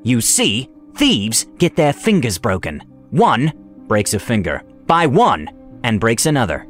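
Casting: gloating male wizard villain, played by a male narrator, sadistic and menacing, swooping pitch, fantasy tabletop tale over music. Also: sing-song. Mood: cruel. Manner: taunting, drawn-out menacing delivery. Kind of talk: dramatized tabletop fantasy storytelling.

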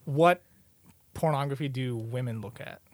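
A man's voice: medium pitch, moderate pace, a little mellow, no echo. A young man chatting casually and crudely with friends as a podcast co-host, full of slang like bro and dude.

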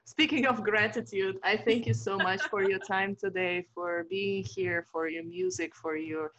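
A woman's voice: medium pitch, steady tone, measured pace, a bit bright, muffled recording, slight background noise. A woman hosting an interview show over a video call, affirming her guest with frequent mm-hmms and laughs. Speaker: podcast host.

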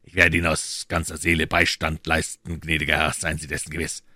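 A man voicing a loyal, assured gentleman reporting to his master in an audiobook, measured and precise. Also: very deep voice, completely flat delivery, no room sound, studio quality, full character voice. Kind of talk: audiobook character dialogue.

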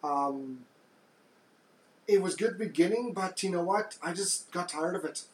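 Deep-voiced man in an echoey room. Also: unhurried, a little hiss or hum. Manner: soft-spoken, hesitant, many restarts. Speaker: podcast co-host. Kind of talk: casual chat.

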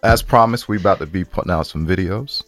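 A man's voice: low voice, quick pace, no room sound. A man co-hosting a podcast, chatting casually in an easygoing, bantering way. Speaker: podcast co-host.

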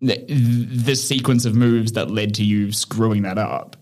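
A man's voice: lowish voice, a little slow, a little mellow. A man who founded an online chess training platform, speaking reflectively as a guest in a casual interview and often laughing as he searches for words.

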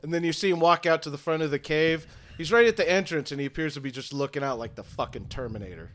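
A man's voice: a little high, lively intonation, quick pace, fairly bright tone. A man running a tabletop game as game master, narrating scenes in a loose, laughing way.

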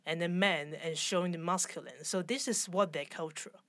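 A woman in her forties from Hong Kong, speaking with a Hong Kong accent and halting, searching English as an interview guest. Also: lowish voice, a bit bright, no echo. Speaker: fashion designer, guest. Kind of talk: conversational interview.

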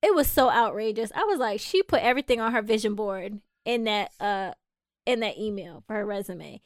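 A woman's voice: medium pitch, animated, brisk, no room sound, studio quality. A woman who is a dental hygienist and practice owner, co-hosting a casual podcast chat and animatedly acting out the people she quotes.

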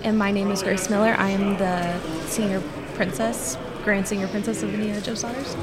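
A woman's voice: mid-range voice, little pitch movement, a little fast, crowd noise. A woman in her twenties being interviewed, speaking in an upbeat, enthusiastic way. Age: 20s. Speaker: youth organization officer. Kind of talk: interview answers. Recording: very crowded event hall.

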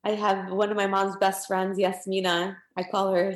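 A woman's voice: medium pitch, completely flat delivery, normal speed. A woman hosting an interview, asking questions in an encouraging, conversational way. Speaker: interview host. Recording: echoey room.

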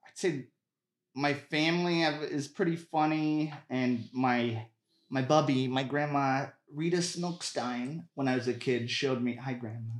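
A man talks casually as a guest in an echoey room. His delivery is halting and joking, with a mid-range voice. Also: medium pitch. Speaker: podcast guest, comedian. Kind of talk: casual chat.

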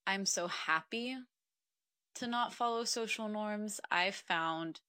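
A woman's voice: medium pitch, bright, forceful tone, dry recording, clean studio sound. A woman in her twenties from Mexico, a podcast guest talking earnestly and reflectively, with frequent ums. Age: 20s. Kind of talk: casual interview conversation.

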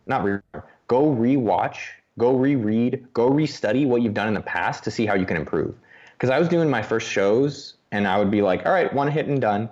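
A young man chatting casually as a podcast guest, animated and self-mocking, swearing freely. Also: medium pitch. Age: young adult. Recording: dull lo-fi audio.

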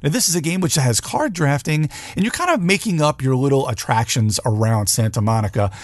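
A man's voice: mid-range voice, lively intonation, brisk, no echo, clean studio sound. A man hosting a podcast, giving a casual news rundown on board games in an informal, loosely phrased way. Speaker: podcast host.

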